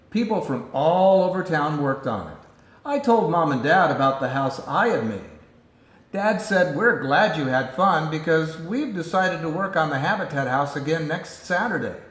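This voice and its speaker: A man reading a children's picture book aloud in an animated storytelling style. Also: fairly high pitch, normal speed, reverberant. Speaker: storybook reader.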